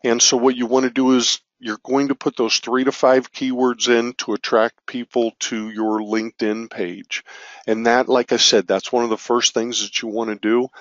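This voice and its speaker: A man teaching an online business course, his voice raspy from allergies, with an occasional cough. He talks in a loose, self-correcting way, mid-pitched, on a dry, slightly muffled recording. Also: no room sound. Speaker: business marketing instructor. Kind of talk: screen-share course walkthrough.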